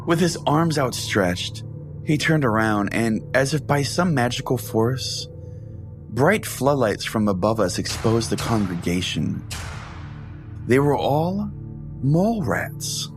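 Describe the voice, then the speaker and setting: A man hosting a podcast, reading a scripted first-person comic story in a deadpan manner. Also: medium pitch, takes it slowly. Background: music.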